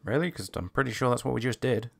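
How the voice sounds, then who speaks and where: A man's voice: medium pitch, fast, creaky, a little hiss or hum. A man giving casual, wry and chatty commentary as he plays through a game. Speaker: game reviewer. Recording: game music behind the voice. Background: loud game music.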